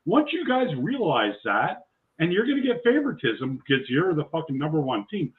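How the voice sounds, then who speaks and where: A man's voice: fairly high pitch, sing-song, normal speed. A man with a Canadian accent, needling others in a casual sports talk show and swearing freely. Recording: tinny phone-like line.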